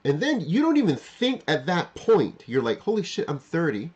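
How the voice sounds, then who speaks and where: A man's voice: a little high, huge pitch swings, moderate pace, slightly rough, dull audio. A man in his forties hosting a livestream, talking off the cuff and reflectively, often repeating and rephrasing himself with emphasis. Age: 40s. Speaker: livestream host. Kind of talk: unscripted reflective monologue to live chat.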